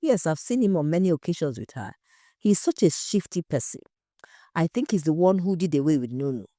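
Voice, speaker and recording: A woman voicing an expressive married hostess in an audio story. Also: sits low, fairly quick, dry recording, clean studio sound. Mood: defensive, insistent. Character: gracious married hostess, grieving friend, defensive when questioned.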